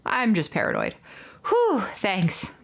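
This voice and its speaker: A woman's voice: medium pitch, huge pitch swings, slow, vocal fry. A woman doing let's play narration, reading on-screen text theatrically with casual asides. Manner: reads dialogue theatrically between casual asides. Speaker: streamer reading game dialogue aloud.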